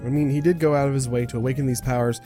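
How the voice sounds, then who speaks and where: A man's voice: medium pitch, steady tone, very fast, warm tone, soft background music, lightly acted. A man voicing a hesitant, conscientious young video game protagonist, thinking aloud in a reflective, wavering way. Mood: guilty.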